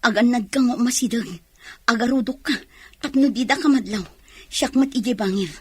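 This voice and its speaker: A woman's voice: fairly high pitch, normal speed, husky, no room sound. An actress playing an alert, serious policewoman in a radio drama, giving urgent instructions. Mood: urgent, tense.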